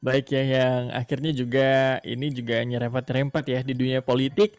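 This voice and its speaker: A man's voice: mid-range voice, little pitch movement, moderate pace, smooth, no echo, studio quality. A man hosting a radio interview, asking a guest long, loosely built questions with frequent verbal nods.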